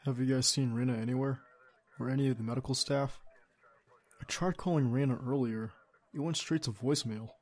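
A young man playing a devoted secret agent boyfriend in an audio roleplay, with a husky, gravelly voice and an earnest manner. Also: medium pitch, steady tone, fairly quick, darkish tone, lightly acted. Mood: concerned. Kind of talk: ASMR boyfriend roleplay acting.

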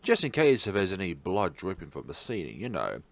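A man's voice: low-pitched, huge pitch swings, fairly quick, vocal fry. A man hosting a let's play, reading a visual novel aloud theatrically and adding wry, playful asides. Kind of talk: playful gaming commentary and expressive read-aloud of a visual novel.

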